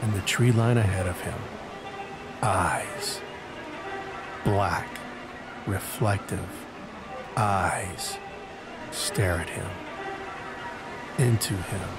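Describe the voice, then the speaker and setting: A deep-voiced man delivers dramatic scripted narration for an audio drama. He speaks slowly and broodingly, setting phrases apart with deliberate pauses. Music plays clearly behind him. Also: poor audio.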